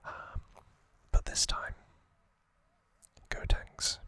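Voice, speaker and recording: A man hosting ASMR, whispering a trivia recap in a breathy, unvoiced voice and short, halting phrases. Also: sharp tone, dry recording. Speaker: ASMR host.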